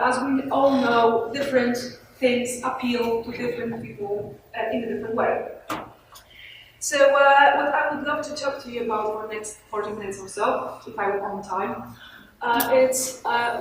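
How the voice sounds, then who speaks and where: Echoey, distant Polish-accented woman presenting. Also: a little high. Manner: hesitant, halting, frequent searching for words. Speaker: conference speaker on marketing. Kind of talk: informal business presentation. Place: indoor event room with audience. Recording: very echoey room, distant mic, clear noise.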